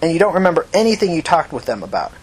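A man's voice: fairly high pitch, sing-song, very fast, husky, pitched above the performer's own voice, poor audio. A man reading the part of a tough, angry, foul-mouthed woman who questions people bluntly like an amateur detective in an audiobook. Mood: angry, accusing. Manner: blunt, pressing, confrontational.

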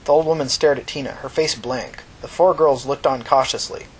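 A man's voice: mid-range voice, fairly even intonation, normal speed, slightly rough, poor audio. A man reading scripted fiction as a narrator.

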